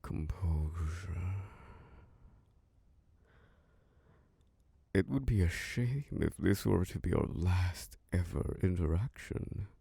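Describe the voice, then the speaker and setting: A man voicing an obsessive, predatory male vampire lord in an ASMR roleplay. His voice is deep, gravelly and husky, and he speaks slowly in a hush that keeps slipping into whispers close to the microphone. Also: no echo, exaggerated voice. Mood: threatening. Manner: slow, hushed, drifting into whispers.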